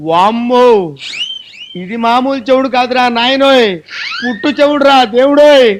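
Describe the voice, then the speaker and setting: An actor playing a panicky, melodramatic wedding priest who wails and laments theatrically. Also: male voice, very high voice, fairly even intonation, normal speed, a little hiss or hum. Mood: wailing. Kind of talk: film comedy acting.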